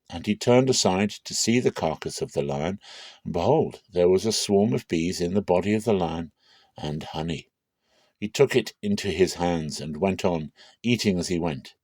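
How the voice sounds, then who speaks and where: A man narrating a dramatic reading of scripture in a solemn, deliberate and expressive storytelling style. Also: sits low, no room sound.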